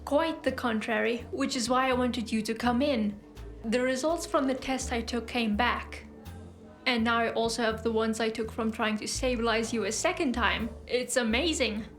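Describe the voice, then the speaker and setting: A woman's voice: fairly high pitch, moderate pace, faint music behind, close to an everyday voice. A woman playing a coolly fascinated scientist-healer in an audio drama, speaking in an articulate, clinical, lecturing way.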